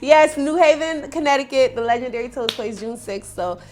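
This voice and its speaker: Lively rapper woman, fairly high voice. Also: moderate pace. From Jamaica. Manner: animated storyteller, calls people honey. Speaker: rapper guest. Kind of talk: casual interview chat.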